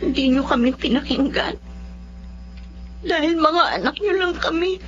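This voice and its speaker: A high-voiced hurt Filipino daughter. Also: female voice, measured pace, a bit bright. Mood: hurt, reproachful. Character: hurt, reproachful eldest daughter. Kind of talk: Filipino radio drama acting. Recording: dull lo-fi radio sound, faint music.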